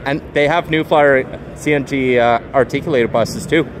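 A Canadian man filming a casual tour of buses on display, excited and halting, rattling off model details with restarts. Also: medium pitch, noisy background. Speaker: transit vlogger. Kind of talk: casual touring vlog. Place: bus display in a convention centre, indoors.

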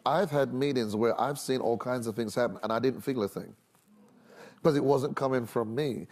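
A middle-aged man speaks as a preacher on a religious TV panel. His voice sits at a medium pitch, and he explains emphatically, circling back over phrases to give them weight. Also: darkish tone. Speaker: minister and panel guest. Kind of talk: preaching-style panel talk on a religious TV show. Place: large TV studio set with audience.

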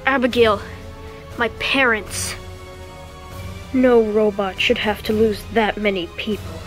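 High-pitched woman voicing toy heroes. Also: a bit bright. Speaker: voices all characters. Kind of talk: homemade toy stop-motion voice acting.

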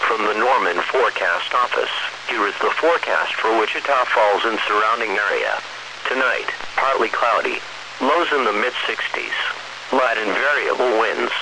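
An automated American male voice reading a scripted weather bulletin in a measured, impersonal, synthesized way. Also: mid-range voice, creaky. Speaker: weather radio announcer. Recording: noisy weather radio broadcast.

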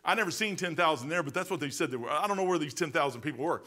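Emphatic high-voiced preacher man. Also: lively intonation, very fast, pushed and bright. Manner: emphatic, conversational preaching with repetitions and restarts. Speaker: pastor. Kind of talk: sermon. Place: church sanctuary, indoors. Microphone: pulpit microphone, far camera.

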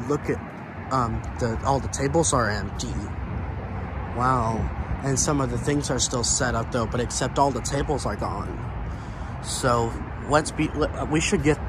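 A man's voice: medium pitch, unhurried. An American man giving a casual walking tour as a vlogger, rambling and repeating himself as he goes. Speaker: vlogger touring abandoned buildings. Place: outdoors, walking around a building and parking lot. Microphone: handheld camera or phone. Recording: outdoor handheld recording with clear ambient noise.

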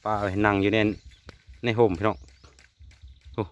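A man's voice: fairly low pitch, little pitch movement, moderate pace. A man with an Isan Thai accent chatting casually and in a folksy way to his viewers while filming outdoors in the countryside. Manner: often addresses viewers in a folksy way. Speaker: vlogger filming a family outing. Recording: noisy outdoor rural recording.

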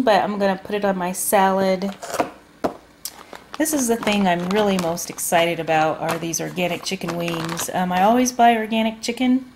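Woman vlogger talking thru her grocery haul, slow and chatty, lots of ums, bit of bag crinkle behind. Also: medium pitch, a little mellow, slight background noise. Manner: chatty, unhurried, many ums. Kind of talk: casual food haul narration. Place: home kitchen table.

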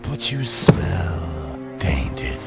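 An actor playing a sadistic, arrogant vampire overlord in a video game, pompous, sneering and menacing. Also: male voice, low voice, very animated, drawn-out words, gravelly, full character voice. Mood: gloating, contemptuous. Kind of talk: video game villain acting. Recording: game audio under loud music.

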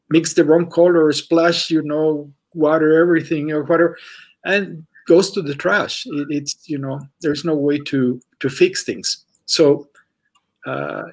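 A man, an artist on a panel, answering over a video call, hesitant and filling pauses with "you know". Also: a little high, gravelly, breathy edge. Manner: hesitant, many "you know" fillers and restarts.

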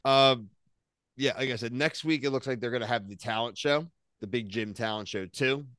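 A man's voice: medium pitch, normal speed, fairly bright tone, smooth, dry recording, clean studio sound. An American man in his thirties chatting casually as a podcast co-host, with slangy, opinionated recaps. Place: small home room, on a video call.

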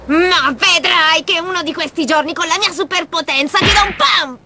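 A woman playing a brash, boastful, clumsy teenage boy in an anime dub, excitable and blustering. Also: very high-pitched, normal speed, slight background noise, full character voice. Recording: music behind the voice.

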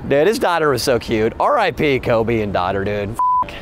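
A man's voice: mid-range voice, big pitch swings, normal speed, background noise. A young man hosting an outdoor comedy street show, bantering in brash, joking slang. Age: young adult. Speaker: prank show host. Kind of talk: casual banter, comic street bits. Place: outdoor city plaza.

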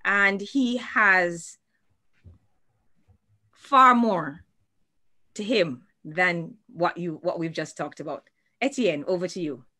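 A woman's voice: fairly low pitch, takes it slowly, pauses often, sharp tone. A woman moderating a panel on a video call, introducing speakers in an upbeat, effusive way.

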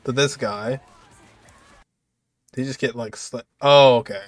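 A young man giving casual, excited reaction commentary with exclamations and slang. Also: mid-range voice, measured pace, dry recording, faint music behind. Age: young adult. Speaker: game reaction video host.

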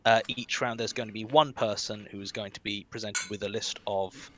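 A man with a big, powerful voice walks through the rules of a card game. His tone is bright and forceful, with a faint hiss under him. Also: lowish voice, steady tone, normal speed. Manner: loud, forceful delivery. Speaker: game explainer. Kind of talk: casual game explanation over voice chat.

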